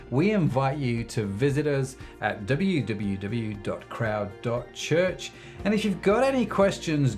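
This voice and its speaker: A man reading a scripted podcast promo in an upbeat, inviting manner. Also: mid-range voice. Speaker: podcast announcer. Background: soft guitar music.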